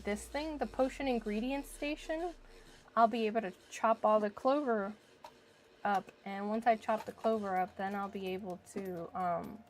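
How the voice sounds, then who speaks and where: A woman's voice: mid-range voice, normal speed, a little hiss or hum. A woman streaming a video game, chatting casually and thinking aloud as she plays. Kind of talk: casual live-stream chat while playing.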